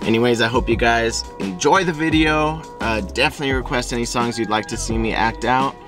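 A man in his twenties chats to his followers in an informal, slangy way. His voice sits at a middle pitch, with faint music behind it. The style is a casual vlog intro. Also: brisk. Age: 20s. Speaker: actor and channel host. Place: inside a parked car.

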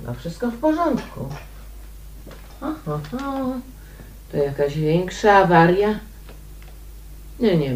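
Slow-spoken, polite woman musing aloud. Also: low voice, mellow, a little hiss or hum, poor audio. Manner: unhurried, muttering to herself with repeated words. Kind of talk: radio drama acting.

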